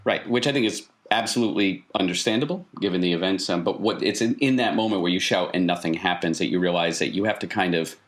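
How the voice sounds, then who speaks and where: A man with a low-pitched voice runs a tabletop role-playing game. He speaks to the player as "you", improvising the narration, asking probing questions and explaining what the character feels. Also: fast, few pauses. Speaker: game master.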